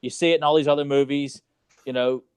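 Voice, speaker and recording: A man's voice: mid-range voice, steady tone, quick pace, darkish tone, smooth, no room sound. A man co-hosting a casual movie podcast, talking in an exasperated, sarcastic way with loose, salty language. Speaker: podcast co-host.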